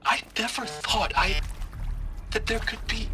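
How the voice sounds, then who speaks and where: Brief: remorseful game scientist, halting stammer, slightly high voice. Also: male voice. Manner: stammering, halting, full of restarts. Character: remorseful male scientist seeking atonement. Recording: game audio with faint music.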